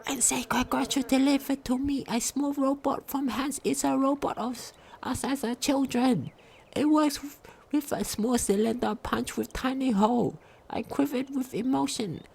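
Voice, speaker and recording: A woman's voice: sits high, steady tone, normal speed, creaky, darkish tone, breathy edge. A woman reading diary entries aloud for a game commentary, stumbling over words and restarting as she goes.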